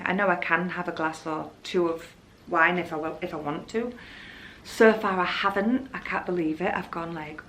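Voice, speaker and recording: A woman's voice: fairly low pitch, fairly bright tone, slight background noise, rough recording. A young woman with a Yorkshire accent, chatting casually and candidly in a rambling way to her vlog viewers. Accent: Yorkshire English. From Hull, Yorkshire, England. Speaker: vlogger.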